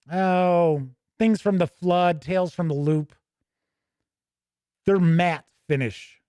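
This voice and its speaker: A man with a slightly high-pitched voice reviews tabletop games in a dry, close-sounding space. He talks conversationally and gives his opinions freely. He often pauses mid-sentence as he thinks aloud. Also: a little mellow, no echo. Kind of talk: casual unscripted product review with passages read aloud.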